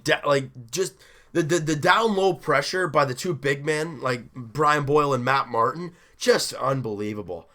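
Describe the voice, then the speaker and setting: A young man with a hoarse, roughened voice, excitedly recapping a hockey game in a rambling, self-interrupting way. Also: medium pitch. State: hoarse, deep rough voice after a late night. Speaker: hockey fan video host.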